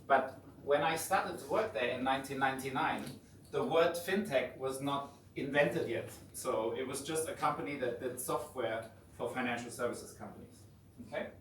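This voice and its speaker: A man with a German accent lecturing in an easygoing, informal way, often restarting his sentences. His voice sits at a middle pitch and sounds a little distant from the mic. The hotel meeting room adds a roomy echo over a faint hiss. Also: normal speed. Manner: relaxed, informal, frequent restarts. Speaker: guest lecturer. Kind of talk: conversational lecture.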